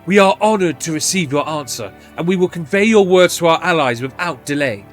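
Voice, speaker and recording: Man as a courteous higher-pitched fantasy adventurer. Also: expressive, fairly quick, faint music behind, close to an everyday voice. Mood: grateful. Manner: formal, polite phrasing.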